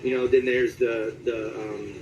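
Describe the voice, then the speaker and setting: Man in a halting, casual interview. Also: mid-range voice, level tone, highs cut off, slight background noise. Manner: halting, searching for recollections. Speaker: voice actor being interviewed.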